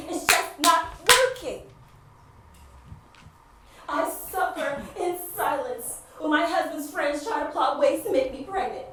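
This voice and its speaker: A woman's voice: high voice, fairly bright tone. A woman playing an anguished married woman grieving her infertility in a raw, dramatic stage monologue. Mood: hurt, frustrated. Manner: dramatic, slow pauses, emotionally raw. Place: indoor stage theatre. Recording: echoey stage hall, distant mic, faint noise.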